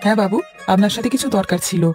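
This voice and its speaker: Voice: mid-range voice, racing, music in the background, natural voice. A performer playing an earnest, supportive young village boy in a cartoon fairy tale. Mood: earnest, encouraging. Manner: earnest, agreeable, encouraging.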